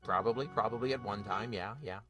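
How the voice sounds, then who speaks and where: A man's voice: lowish voice, a little fast, sharp tone. A man acting in an improvised radio soap as a defensive vaudeville actor and former stunt flyer, hesitant and repeating himself. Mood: evasive, hesitant. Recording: music playing behind the voice.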